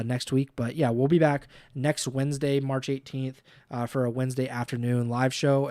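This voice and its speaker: A man co-hosting a livestreamed podcast in casual chat, easygoing and filling pauses with uh and um. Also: medium pitch, a little mellow, dry recording, studio quality. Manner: easygoing, many uh and um fillers. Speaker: podcast co-host.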